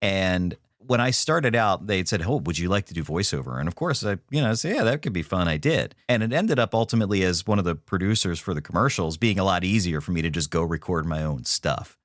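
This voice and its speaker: A man's voice: sits low, expressive, brisk, hardly any pauses, dry recording, clean studio sound. A man with a Philadelphia area accent, a podcast guest chatting in a playful, conversational way and laughing often. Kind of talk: casual podcast chat. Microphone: remote call.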